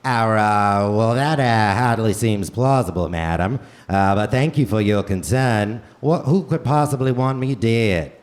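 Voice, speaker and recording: A man playing a stately male politician in a comedic radio play, stammering and oratorical, with drawn-out hesitations. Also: on the low side, voice pushed down, lightly acted. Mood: polite, bemused. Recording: live theater stage, faint noise.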